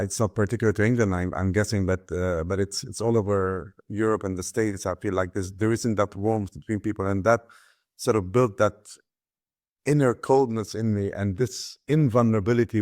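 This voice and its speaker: A man's voice: lowish voice, fairly even intonation, brisk, a little mellow, dry recording, studio quality. A middle-aged man from Ghana, a guest telling his life story in a thoughtful way, often restarting and repeating his words. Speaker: guest, business founder and author. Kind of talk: reflective personal storytelling.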